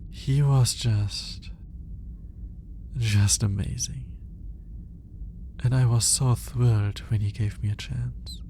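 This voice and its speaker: A man playing a lonely, lovelorn alien scientist in an intimate ASMR roleplay, musing aloud in a hesitant, halting manner. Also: medium pitch, steady tone, mellow, a little hiss or hum, close to an everyday voice. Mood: wistful, hurt. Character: lonely male alien scientist, obsessive, awkward, smitten, lovelorn.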